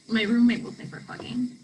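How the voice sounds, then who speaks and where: A woman's voice: a little high, flat delivery, a little slow, a little hiss or hum, close to an everyday voice, low-quality recording. A woman in a play reading playing a blunt young South American student who talks in a casual, slangy, sweary ramble. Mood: playful. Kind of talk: play reading, naturalistic conversational acting.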